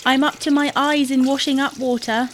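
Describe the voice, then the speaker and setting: A woman's voice: high-pitched, steady tone, moderate pace, a little hiss or hum, natural voice. A woman playing a fussing, no-nonsense middle-aged wife in a domestic audio comedy, chiding and motherly in manner. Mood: exasperated.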